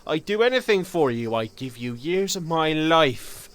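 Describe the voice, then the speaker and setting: A man's voice: a little high, sing-song, unhurried. A man playing a hot-tempered, exasperated estate manager in an audio drama, blustering and emphatic. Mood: strained politeness.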